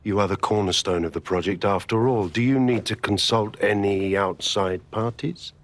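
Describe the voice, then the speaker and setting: A man's voice: on the low side, moderate pace, close to an everyday voice. Brief: ruthless tech security chief, played by a man, controlled and chilling. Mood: menacing. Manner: calm, controlled, menacing. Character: cold ruthless male tech security boss.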